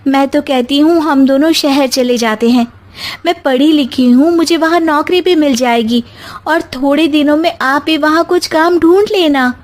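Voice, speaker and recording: Outspoken, sensible young bride from a storybook played by a woman, high and earnest, articulate. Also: normal speed, natural voice. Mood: persuasive. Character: educated young city-bred daughter-in-law, outspoken and sensible.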